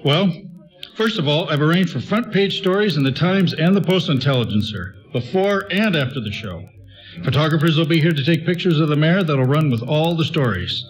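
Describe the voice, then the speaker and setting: A man's voice: a little high, animated, moderate pace, lightly acted, rough recording. An actor playing an enterprising, polite young male press aide in a 1940s-style radio comedy. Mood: brisk, deferential.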